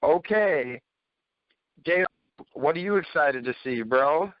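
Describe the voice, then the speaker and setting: A man's voice: fairly high pitch, animated, takes it slowly, no room sound. A man hosting a casual panel chat, speaking informally and chummily with his co-hosts. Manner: informal, addresses co-hosts as buddy and bro. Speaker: podcast host. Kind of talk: casual panel chat about games and movies. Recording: slightly dull, lo-fi audio.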